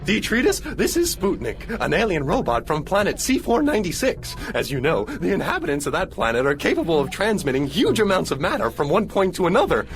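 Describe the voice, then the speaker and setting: High, swooping friendly robot. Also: male voice, fairly quick, hardly any pauses, faint music behind, full character voice. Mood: friendly, chatty. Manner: animated, familiar. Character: chatty friendly robot showing a newcomer around. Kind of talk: scripted video game cutscene acting.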